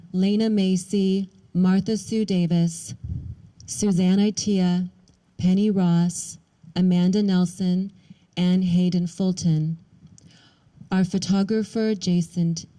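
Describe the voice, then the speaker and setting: A woman's voice: medium pitch, mellow, poor audio. A woman officiating a ceremony, delivering a formal, scripted speech.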